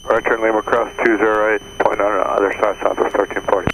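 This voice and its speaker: A man's voice: lowish voice, level tone, fast talker, background noise. A man, an airline pilot, giving brief readbacks over an aviation radio. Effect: aviation radio transmission.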